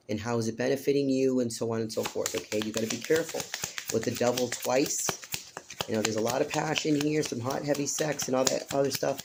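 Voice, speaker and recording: Hoarse man giving a flat-toned tarot reading. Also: medium pitch, slight background noise. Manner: earnest, repetitive, addresses listener directly with "okay?". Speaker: tarot reader. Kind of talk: casual spoken tarot reading.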